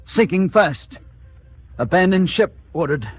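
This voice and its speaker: Ship's radio operator, higher male voice, very slow clipped delivery, urgent distress call, war radio drama. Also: lively intonation, breathy edge, faint music behind, full character voice. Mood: urgent, grim. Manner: spelled-out, clipped, deliberate phrases.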